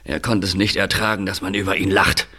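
A man playing an affable, hospitable older man in an audio drama, speaking deliberately with hesitations. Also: low voice, little pitch movement, pushed and bright. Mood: reflective, grave. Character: affable older man, scarred retired film manager breeding budgies. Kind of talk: audio drama dialogue acting.

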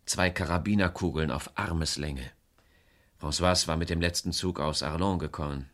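A low, deep male voice in a radio crime play. He is a beleaguered small-town property dealer and estranged husband, confiding his troubles in halting, sighing phrases. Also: fairly bright tone. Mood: sober, matter-of-fact. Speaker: radio drama actor.

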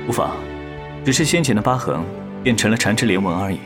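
A man's voice: fairly low pitch, animated, fast talker, over music, natural voice. A man playing a proud, roguish male demon, devoted and teasing, with dramatic swaggering delivery in an audio drama. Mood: calm, hushed. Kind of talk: Chinese audio drama acting.

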